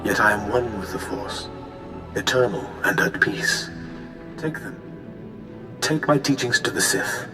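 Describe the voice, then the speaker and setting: Echoing, serene ancient hologram sage. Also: male voice, lowish voice. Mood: solemn, serene. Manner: measured, wise, solemn. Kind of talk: video game cutscene acting. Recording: echoing voice over game music.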